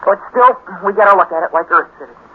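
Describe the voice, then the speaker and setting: High-voiced, earnest teenage boy. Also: fast talker, phone-quality sound. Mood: worried. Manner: hesitant, deferential, earnest, slangy. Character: eager, earnest teenage boy amateur space pilot. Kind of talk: 1950s radio drama acting. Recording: thin, noisy 1950s radio recording.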